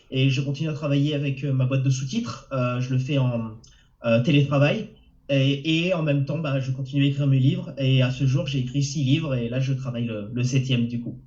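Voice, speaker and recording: A man's voice: medium pitch, a little mellow, roomy sound. A man from France with a cold, a novelist answering webinar questions with lots of hesitant fillers and restarts over a muffled video call. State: has a cold. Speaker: novelist guest.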